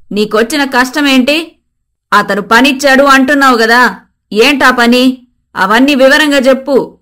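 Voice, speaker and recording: Woman playing a scolding, high-voiced mother-in-law. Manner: loud, accusing, demanding. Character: scolding, suspicious mother-in-law confronting a thief.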